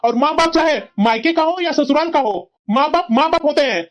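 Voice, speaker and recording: A woman's voice: high voice, lively intonation, fairly quick. A woman voicing an earnest young husband and dutiful son in a cartoon, talking in a firm, lecturing way. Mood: stern. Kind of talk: cartoon voice acting. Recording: dull lo-fi recording.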